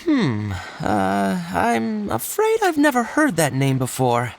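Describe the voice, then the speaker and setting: A woman's voice: medium pitch, wildly swooping pitch, slow, creaky, faint music behind, exaggerated voice. A woman voicing a helpful young village woman in a game, hesitant and polite. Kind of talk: game voice acting.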